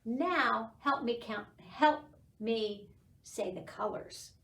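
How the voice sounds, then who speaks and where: A middle-aged woman hosting a children's storytime, reading aloud and talking to young children in an animated, encouraging way. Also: fairly high pitch, slow pace, vocal fry. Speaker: library storytime host. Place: small indoor library room. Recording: roomy echo, distant microphone.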